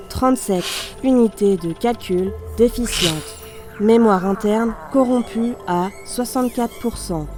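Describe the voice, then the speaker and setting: A woman playing a damaged robot unit in audio fiction, speaking formally and precisely, polite, analytical and gently naive. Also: mid-range voice, close to an everyday voice. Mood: clinical, methodical. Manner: formal, clipped system announcements and precise explanations. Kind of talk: scripted audio fiction monologue.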